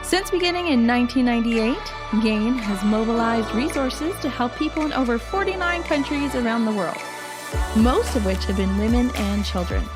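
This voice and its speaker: Female announcer, slightly high register, scripted charity spot with a clear music bed. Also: animated, normal speed. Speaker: promo announcer.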